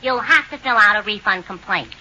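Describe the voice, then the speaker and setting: An actress playing a brash young working-class clerk who talks in sarcastic slang, in radio sitcom style. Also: female voice, medium pitch, fairly quick, sharp tone. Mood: needling. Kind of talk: 1950s radio sitcom acting. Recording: noisy, muffled old radio recording.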